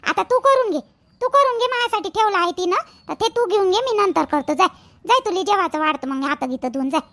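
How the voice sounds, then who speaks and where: A fussing village mother-in-law from a homemade cartoon played by a woman, extremely high and bright in voice. She chatters in Vidarbha Marathi dialect and repeats herself as she bustles about the household. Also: lively intonation, quick pace, full character voice. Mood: eager, caring. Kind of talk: amateur cartoon voice acting.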